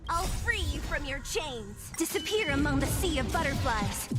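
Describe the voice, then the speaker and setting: High, menacing young fighter. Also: female voice, big pitch swings, normal speed, over loud music. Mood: cool, solemn. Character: cool, menacing young female game fighter. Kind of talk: video game battle lines.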